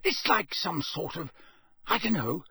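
A man voicing a gruff, suspicious middle-aged campsite owner who questions people bluntly. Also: fairly high pitch, big pitch swings, measured pace, pushed and bright, no echo, clean studio sound, heavily acted.

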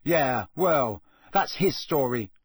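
A man's voice: on the high side, lively intonation, normal speed, slightly rough, no echo, studio quality, voice pushed up. A man voicing a jealous, sulky teenage boy in an audiobook, scathing and accusatory. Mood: nasty, suspicious.

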